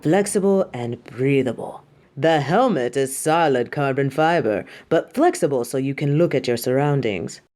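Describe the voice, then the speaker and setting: A woman's voice: very deep voice, expressive, moderate pace, dark tone. A woman playing a vain, imperious superhero costume designer in a theatrical audio roleplay, haughty, dramatic and doting toward the listener. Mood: proud, showy.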